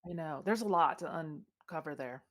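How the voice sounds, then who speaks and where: A woman co-hosting a podcast in casual chat, animated and full of questions. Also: sits low, normal speed. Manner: animated, inquisitive, laughing. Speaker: podcast co-host.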